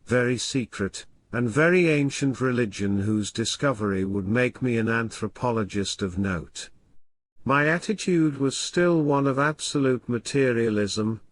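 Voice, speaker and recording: A man reading a classic horror story aloud as scripted narration, in a measured, formal, literary manner. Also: medium pitch. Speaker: audiobook reader.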